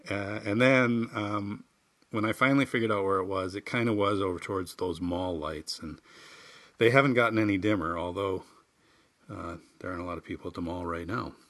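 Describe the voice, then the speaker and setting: Male essayist-host, fairly low but forward, bright tone; folksy, self-deprecating, informal. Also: brisk. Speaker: writer and podcast host. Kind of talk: reading a humorous personal essay and chatting informally.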